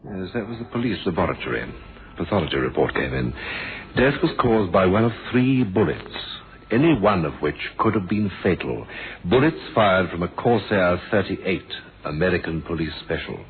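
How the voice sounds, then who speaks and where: An actor playing a measured, dryly thoughtful police inspector in a radio drama, polite and understated in manner. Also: male voice, low voice, lively intonation. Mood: grave, businesslike. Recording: dull, hissy old radio recording.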